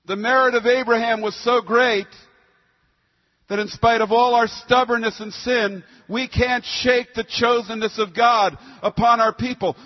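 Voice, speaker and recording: A man preaching a sermon with scripture readings, speaking in an emphatic, expository and rhetorical manner. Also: very high-pitched. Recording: muffled sound in a long-reverb hall.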